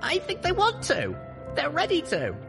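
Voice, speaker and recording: Earnest, very high-pitched young pony mare. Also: male voice, huge pitch swings, moderate pace, gravelly, over music, exaggerated voice. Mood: earnest, rousing. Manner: stern, earnest speechmaking. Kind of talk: dramatised audiobook storytelling.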